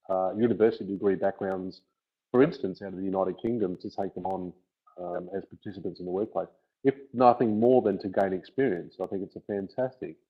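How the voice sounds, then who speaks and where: A man's voice: sits low, husky. An Australian man hosting a webinar, hesitant and full of fillers as he talks. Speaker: webinar host. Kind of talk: webinar interview. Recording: tinny phone-like line.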